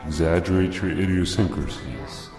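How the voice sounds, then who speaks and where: A man's voice: low voice, moderate pace. A man playing a grandiose cosmic oracle who preaches in a solemn, ceremonious manner. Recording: echoey reverb, music over voice. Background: clear background music.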